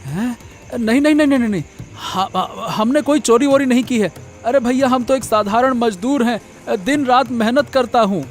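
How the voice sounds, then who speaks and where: A man's voice: very high voice, normal speed, soft background music. A man voicing a poor, honest village labourer and devoted father in a cartoon, earnest and repetitive in his speech. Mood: frightened pleading. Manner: stammering, pleading, repeats words. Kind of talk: Hindi moral-story cartoon voice acting.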